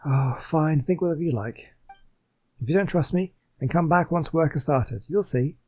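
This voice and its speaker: A man's voice: on the high side, moderate pace, a little mellow, lightly acted. A man voicing an earnest, polite reformed swindler from a video game, reading the dialogue in a wordy, scholarly way. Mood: defensive.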